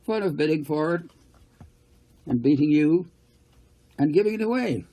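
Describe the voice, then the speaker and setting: Man voicing breezy, husky, teasing woman. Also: a little high, normal speed, voice pushed up. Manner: lively, offhand, teasing. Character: breezy, generous woman bidder at an auction.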